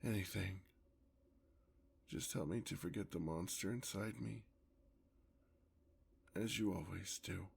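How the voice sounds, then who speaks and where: A man playing a courtly, hungry vampire lover in an ASMR fantasy roleplay, with formal, theatrical speech and a gravelly voice. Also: deep voice, slight background noise, exaggerated voice. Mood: remorseful, weary. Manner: theatrical, formal, drawn-out endearments. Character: courtly, hungry male vampire, tender yet predatory lover.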